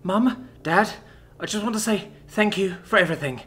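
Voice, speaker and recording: A woman voicing a protective older sister forcing calm under dread in an audiobook, reassuring yet urgent. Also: mid-range voice, moderate pace, soft background music, pitched above the performer's own voice, close to an everyday voice. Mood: forced calm. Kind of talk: audiobook character dialogue.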